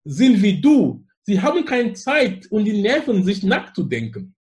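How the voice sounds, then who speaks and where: A man's voice: sits high, wildly swooping pitch, a little slow, darkish tone. A man in his forties from Cameroon with a Cameroonian accent, speaking as an interview guest with emphatic, repetitive enthusiasm. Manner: effusive, emphatic, fond of repeating phrases. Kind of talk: conversational interview.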